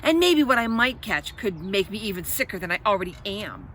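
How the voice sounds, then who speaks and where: Woman storyteller playing stubborn little girl, snooty and defiant, bright tone, storybook read-aloud, slightly noisy recording. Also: mid-range voice, very animated, brisk. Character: defiant, sassy little girl feigning illness.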